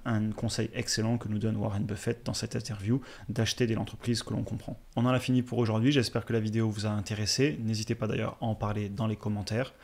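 A man in his thirties with a slightly low voice talks briskly, walking viewers through investing ideas in a steady, explanatory way. He speaks straight to camera into a close studio mic in a small room. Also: gravelly. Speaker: finance channel host. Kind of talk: educational commentary over slides. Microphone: studio mic on a boom in front.

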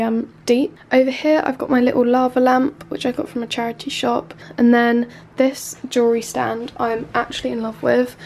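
A young woman, about twenty, sounds stuffed-up and nasal, with a gravelly, creaky edge to her fairly high voice. She chats casually and loosely, with plenty of fillers, while faint hiss sits under a handheld camera recording in a small bedroom. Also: darkish tone. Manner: chatty, filler-heavy, loose and unhurried. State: nasal from a cold. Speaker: vlogger. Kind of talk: casual room tour chat. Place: small student bedroom, indoors. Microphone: handheld camera mic.

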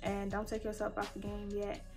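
A young woman in her twenties chatting casually and warmly while she does her makeup, her nose stuffy from allergies. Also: medium pitch, monotone, normal speed, over music. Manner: chatty, heartfelt, colloquial. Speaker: beauty vlogger. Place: bedroom, indoors.

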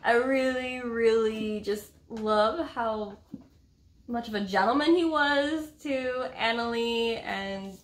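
A woman's voice: on the high side, takes it slowly. A woman in her thirties chatting casually at home as a book vlog co-host, with a playful, gushing manner. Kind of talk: casual bantering chat. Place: small room at home, indoors.

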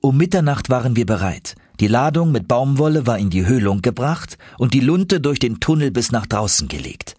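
Husky, animated male audiobook narrator. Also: medium pitch, moderate pace, hardly any pauses, no room sound. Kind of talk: dramatic scripted narration.

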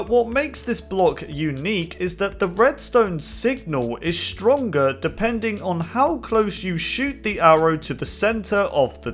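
A young man with a slightly hoarse voice, narrating video game news over gameplay in an upbeat way. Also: high voice. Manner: upbeat, lively, repeats "as you can see". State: hoarse, getting sick. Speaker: gaming channel host.

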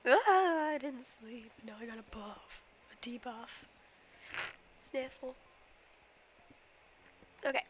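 A woman's voice: sits high, expressive, slow pace, long pauses, background noise. A young woman streaming a game from her bedroom, rambling casually and humming silly nonsense sounds, a little sniffly from a cold. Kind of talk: casual livestream commentary while playing.

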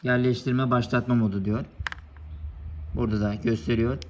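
A man presenting a product for a motorcycle shop, explaining its buttons one by one in a steady, formal way, recorded indoors under a building. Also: mid-range voice, normal speed, a little hiss or hum. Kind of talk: product demonstration.

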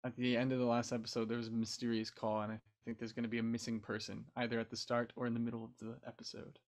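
A man's voice: mid-range voice, monotone, quick pace. A young man chatting casually as a guest on an online panel.

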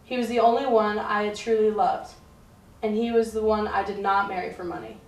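A woman's voice: medium pitch, fairly even intonation, brisk, highs cut off, natural voice. A woman playing a boastful, unashamed, much-married medieval wife in a scripted puppet show monologue. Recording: echoey, distant mic, faint music.

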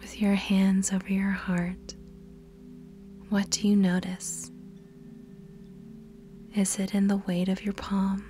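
A woman leads a guided meditation over clearly present music. Her delivery is extremely slow and measured, with pauses between instructions. The voice is soft and breathy, often slipping toward a whisper, and stays very even in pitch. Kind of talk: scripted guided meditation narration. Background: background music.

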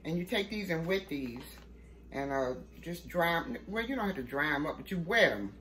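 A woman's voice: deep voice, lively intonation, moderate pace, a bit bright. A middle-aged woman hosting a casual home cooking demonstration, talking in a folksy way and checking in with the viewer. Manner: folksy, often ending statements with "okay?". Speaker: home cooking show host. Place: home kitchen, later outdoors at a grill at night. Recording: noisy home video, clear background noise.

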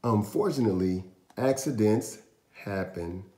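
A man in his thirties reading a picture book aloud to young children, with deliberate, dramatic storyteller pauses. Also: lowish voice, lively intonation, dark tone, slight background noise. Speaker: children's storytime host and reader. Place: small indoor room in a house. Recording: roomy echo, faint music.

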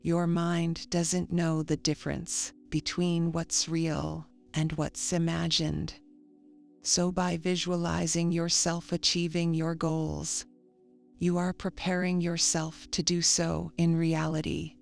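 A woman reading a scripted guided meditation in a calm, soothing, measured manner. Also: fairly low pitch, gravelly. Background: faint music.

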